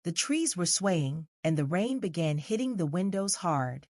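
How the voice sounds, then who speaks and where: A woman's voice: fairly low pitch, lively intonation, unhurried, a little mellow, dry recording, studio quality. A woman reading scripted narration for a children's story as the narrator.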